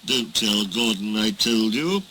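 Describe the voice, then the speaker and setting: A man's voice: lowish voice, expressive, measured pace, bright, forceful tone, slight background noise, pitched below the performer's own voice, low-quality recording. A man voicing a sly, scheming male diesel engine that whines and speaks in an oily voice. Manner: scheming, whining.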